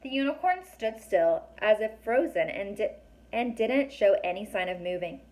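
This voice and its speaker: A woman reading a children's story aloud, pausing to ask young listeners questions and explain things in a chatty way. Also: medium pitch, lively intonation, darkish tone, poor audio. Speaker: teacher or reader reading a children's book aloud.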